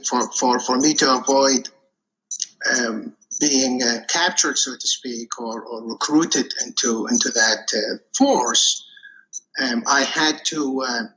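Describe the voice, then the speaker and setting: A man in his forties, born and raised in Iraq, answering interview questions in a casual, halting way with many fillers and restarts. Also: mid-range voice, sharp tone, poor audio. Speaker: podcast guest.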